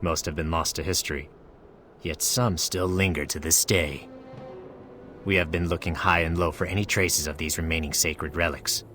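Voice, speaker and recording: An actor playing a solemn, regretful male divine being in a game, speaking in a measured, formal manner. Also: a bass voice, fairly even intonation, pushed and bright, faint music behind. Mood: grave, earnest. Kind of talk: scripted game dialogue.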